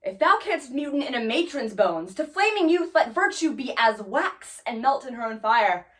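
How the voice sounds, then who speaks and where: A woman performing a Shakespearean stage monologue as an anguished, scornful young prince, declaiming with drawn-out emphasis. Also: a little high, very animated, fairly bright tone. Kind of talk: classical Shakespearean stage acting.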